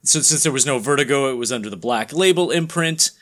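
A man's voice: medium pitch, fast talker, fairly bright tone. Man casually walking through podcast news. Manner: explanatory, conversational, paraphrasing quotes as he goes. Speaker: podcast co-host explaining comics news.

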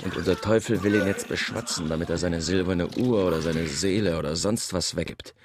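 An actor playing a gruff, superstitious whaling mate in a radio drama, gravelly and grumbling in a conspiratorial way. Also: male voice, low-pitched, a little fast, natural voice. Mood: wary grumbling. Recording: clearly noisy sound-effects bed.

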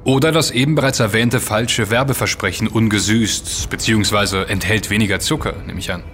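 Actor as low-voiced serious investigator. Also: male voice, fairly quick, a bit bright, soft background music, close to an everyday voice. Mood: probing. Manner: articulate, explanatory. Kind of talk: German audio drama dialogue.

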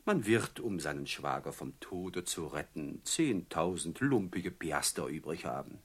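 An actor playing a mocking, falsely courteous bandit chief in a German radio play, speaking with theatrical, oily politeness. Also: male voice, low voice, animated, a little slow, slightly rough, full character voice. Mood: falsely courteous, gloating.

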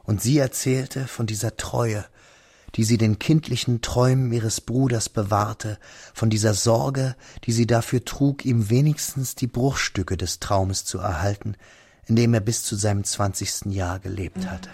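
Radio drama narrator: wistful young man, lower-pitched, gravelly, measured, sinking to near whispers. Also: steady tone, a little mellow, dry recording, clean studio sound. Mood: tender, wistful. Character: young man recalling a lost friend, wistful, restrained.

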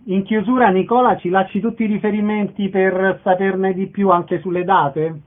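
A man's voice: high-pitched, fairly even intonation, moderate pace. A man hosting a radio interview, giving show details and putting questions to guests. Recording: thin, band-limited phone-like sound.

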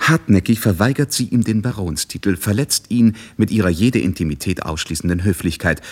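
A man's voice: lowish voice, fairly quick, natural voice. A man giving composed, wry literary narration as the narrator of a radio play.

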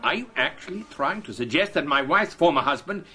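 An actor playing a distraught, indignant academic expedition leader in a radio drama, protesting in formal, agitated speech. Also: male voice, fairly high pitch, animated, brisk, bright, forceful tone, soft background music. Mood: disbelieving, indignant.

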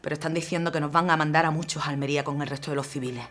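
Determined young militia woman, played by an actress, low husky voice. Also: steady tone, racing, fairly bright tone. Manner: determined, teasing. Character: stubborn young woman militia fighter Lina.

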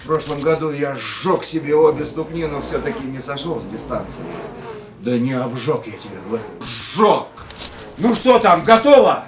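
A man's voice: a little high, expressive, normal speed, close to an everyday voice. A male actor playing an injured, stubborn racing driver in a radio play, impatient, urgent and driven. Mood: pained, impatient. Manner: urgent, agitated, barking orders. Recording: roomy, distant, loud vehicle noise.

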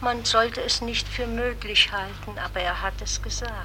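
A woman playing a sailor's dead mother in a radio drama, wistful and full of quiet wonder. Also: fairly high pitch, fairly even intonation, normal speed, background noise, natural voice. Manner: wistful, marvelling.

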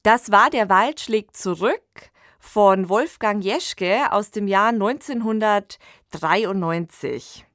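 Woman announcer, slow pace, scripted credits, dry close sound. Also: medium pitch, lively intonation, fairly bright tone, dry recording.

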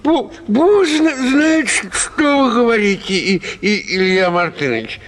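Radio play, a meek, flustered little man, very high voice, halting slow stammer, easily wounded. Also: lively intonation, fairly bright tone. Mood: flustered, offended. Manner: stammering, hesitant, tittering. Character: meek, flustered, easily offended man timidly defending grammar rules. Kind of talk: theatrical radio play acting of a classic short story.

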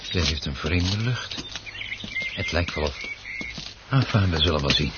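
Low-voiced secretive husband, noisy recording. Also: male voice, expressive, unhurried. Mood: absorbed, muttering. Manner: hesitant, stammering, repeats himself. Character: secretive henpecked husband obsessed with his rare plant. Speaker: radio drama actor. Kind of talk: Dutch radio play acting. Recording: dull noisy old radio play recording.

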